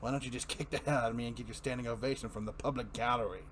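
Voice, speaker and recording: A man's voice: mid-range voice, steady tone, fairly bright tone, slight background noise, natural voice. A man performing a manic, mocking clown supervillain in a theatrical comic-book dramatic reading, prone to giggles. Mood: bitter, goading. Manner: theatrical, drawn-out, swooping, breaking into giggles.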